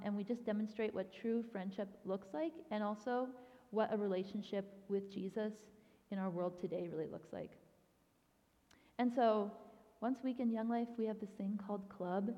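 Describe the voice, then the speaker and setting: A woman's voice: mid-range voice, big-room sound, dull audio. A young woman, a youth ministry director raised in Thailand, giving a chatty guest talk at a church with frequent "um" and "like.". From grew up in Thailand.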